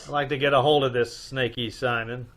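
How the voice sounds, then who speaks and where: A man playing a brisk, in-charge detective in an old-time radio comedy mystery, questioning in a businesslike way. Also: medium pitch, steady tone, a bit bright, close to an everyday voice. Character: brisk, in-charge male detective, newlywed poser.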